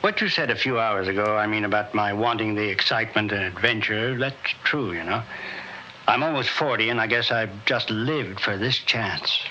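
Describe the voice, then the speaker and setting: A man's voice: on the low side, normal speed, pushed and bright. An actor playing a frank, adventurous Air Force major in his late thirties in a 1960s radio drama. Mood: frank, eager. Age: late 30s. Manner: frank, plain-spoken. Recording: dull, faintly noisy old radio recording.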